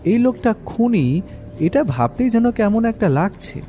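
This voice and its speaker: A man acting as a detective's companion who tells the tale in a reflective, literary way. His voice is fairly high for a man yet very soft-edged and mellow, set over music in an audio drama. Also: very animated, a little slow. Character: reflective male detective's companion, telling the story first-person. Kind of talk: dramatic first-person story narration. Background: background music.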